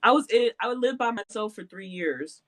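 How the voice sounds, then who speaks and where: A woman's voice: mid-range voice, animated, normal speed, a bit bright. A woman in her late twenties chatting casually as a podcast host, self-deprecating and laughing often. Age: 20s. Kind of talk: casual chat.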